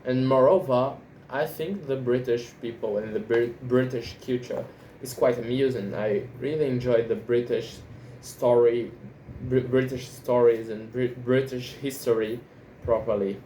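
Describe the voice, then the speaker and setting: A Brazilian man speaking English as a second language, his first being Portuguese, in an unscripted monologue, often halting and restarting his sentences. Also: mid-range voice, steady tone, warm tone, slight background noise, rough recording. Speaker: language learner vlogger.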